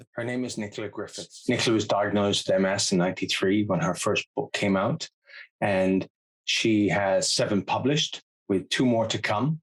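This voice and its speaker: A man's voice: fairly low pitch, husky, low-quality recording. A man hosting an online interview. He talks in a friendly, chatty way and often drifts into loose, rambling asides between questions. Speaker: interview host. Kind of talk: channel host interviewing a guest.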